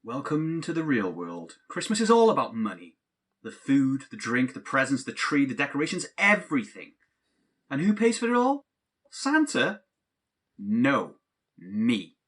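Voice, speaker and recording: A man's voice: medium pitch, wildly swooping pitch, unhurried, fairly bright tone, husky, full character voice. An actor playing a cynical, exasperated young man in an audio drama, with a sardonic, disbelieving way of talking. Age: young adult. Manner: sardonic, incredulous. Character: cynical adult man, exasperated, let-down Christmas sceptic, best friend. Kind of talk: naturalistic audio drama dialogue.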